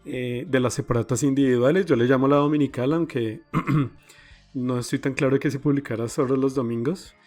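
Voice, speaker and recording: A man hosting a podcast, recounting history casually with pauses and fillers. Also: medium pitch, a little mellow. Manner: conversational, halting with fillers. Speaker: podcast host.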